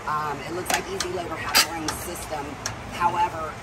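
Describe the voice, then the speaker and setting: A woman's voice: sits low, fairly even intonation, a little fast. A woman in her fifties explaining things casually to the camera as the host of a repair shop video. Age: 50s. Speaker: host, boat trailer shop expert. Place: open-sided covered outdoor boat trailer yard. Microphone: camera mic a few metres away. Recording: distant mic, loud shop and vehicle noise.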